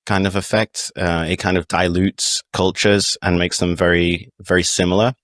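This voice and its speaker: Deep-voiced British man, dry close sound. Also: little pitch movement, moderate pace, a bit bright, no room sound. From United Kingdom. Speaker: podcast guest. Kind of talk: casual interview talk, explanatory.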